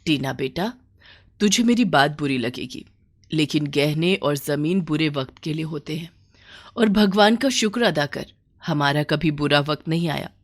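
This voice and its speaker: A woman voicing a sensible older village relative in a bedtime story, advising gently in a measured, admonishing way. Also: deep voice, expressive, no echo, clean studio sound. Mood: calm advising.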